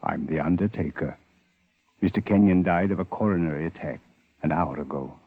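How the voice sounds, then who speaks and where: An actor playing a formal, measured undertaker recounting a violent encounter in a 1950s radio drama. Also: male voice, sits low, dry recording. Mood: subdued, formal. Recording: tinny 1950s radio, music behind.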